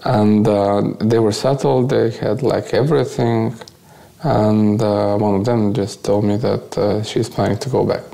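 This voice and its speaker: A man's voice: lowish voice, fairly even intonation, measured pace, creaky, mellow. A man with a Bulgarian accent, a non-native speaker searching for words as he recounts his experiences in a conversational interview. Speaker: interview guest, volunteer activist.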